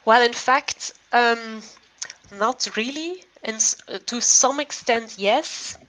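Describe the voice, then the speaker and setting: A woman answering as a guest on a panel, hesitant and thoughtful, filling pauses with ums. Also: fairly high pitch, fairly bright tone, rough recording. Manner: slow and hesitant, many ums. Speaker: panel guest, company representative.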